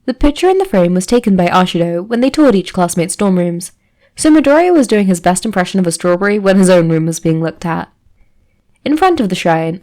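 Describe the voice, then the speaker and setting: A woman's voice: on the low side, darkish tone. Woman narrating a story. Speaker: fan fiction podfic reader. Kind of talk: scripted story narration with character voices.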